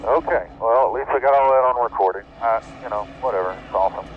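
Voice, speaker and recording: A man's voice: mid-range voice, quick pace. A man talking bluntly and with exasperation over a race team radio. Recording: dull team radio, faint engine noise.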